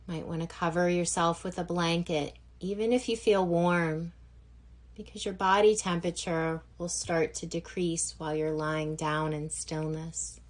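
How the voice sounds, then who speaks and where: A woman guiding a yoga nidra relaxation session as instructor, gentle and unhurried, leaving long pauses between phrases. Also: fairly low pitch, steady tone. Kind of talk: guided meditation instruction.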